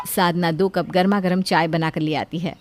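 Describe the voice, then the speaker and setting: A woman's voice: lowish voice, steady tone, normal speed, faint music behind. A woman reading a scripted family story as a narrator.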